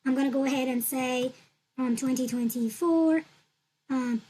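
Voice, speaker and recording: A woman whose first language is Turkish, calmly walking through an online form step by step, repeating her points with fillers. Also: high voice, moderate pace, slightly rough, rough recording. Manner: patient, repetitive instructional talk with fillers. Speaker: tutorial presenter. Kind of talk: screen-recorded walkthrough.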